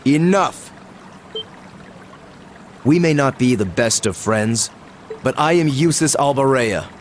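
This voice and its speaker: A man's voice: a little high, a little hiss or hum. A man playing a proud, principled teenage aristocrat student in a video game, formal and haughty. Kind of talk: dramatic video game voice acting.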